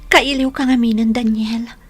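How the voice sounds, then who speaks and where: An actress with an Ilocano accent playing a devoted young sweetheart in a radio drama, tearful, tender and pleading. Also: female voice, a little high, fairly even intonation, moderate pace, faint music behind, close to an everyday voice. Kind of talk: Filipino radio drama acting.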